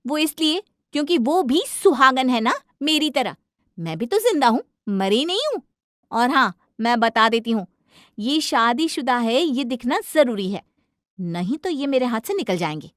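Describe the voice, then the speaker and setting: A woman voicing an indignant, sharp-tongued young wife who insists on her way in a domestic cartoon story. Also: high-pitched, lively intonation, normal speed, no echo, studio quality. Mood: firm. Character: feisty, indignant young wife demanding equality.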